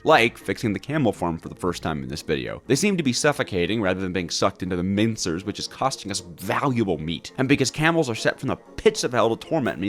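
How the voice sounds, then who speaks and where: A man narrates gameplay in a fairly low voice that swings expressively up and down. His delivery is scripted and wry, leaning into mock exasperation, with faint music behind him. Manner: wry, exaggerated complaining. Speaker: video creator, gamer.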